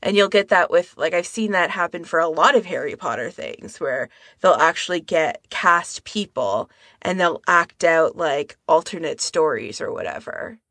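A woman's voice: lowish voice, animated, moderate pace, a bit bright, no room sound. A woman co-hosting a podcast, talking in a casual, conversational style. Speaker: podcast co-host. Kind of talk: casual discussion.

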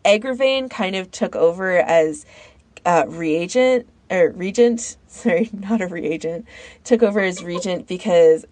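A woman with a slightly low voice talks informally, as one of a podcast panel. She thinks aloud and halts as she goes. The sound is very dry, with no room around it. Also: measured pace, a little mellow, dry recording. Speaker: podcast panellist recommending fan audio fiction. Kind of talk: casual chat.

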